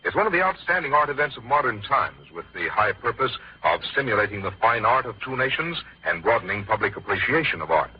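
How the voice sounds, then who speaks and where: A man's voice: mid-range voice, big pitch swings, brisk, few pauses, breathy edge. A man announcing on a 1950s radio show, reading scripted promotions in a polished, formal style. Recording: muffled vintage radio broadcast.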